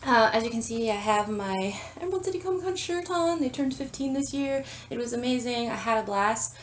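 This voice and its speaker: A woman's voice: fairly high pitch, brisk, a little hiss or hum. Congested woman chatting in an echoey room. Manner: chatty, apologetic, many ums and restarts. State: congested from a cold, sniffling. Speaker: comic reviewer and channel host. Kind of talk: casual vlog review. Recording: roomy, slightly distant sound.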